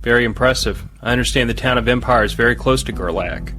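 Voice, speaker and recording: A man playing a gruff, businesslike army major in a dystopian audio drama. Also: medium pitch, fast talker, bright, forceful tone, natural voice.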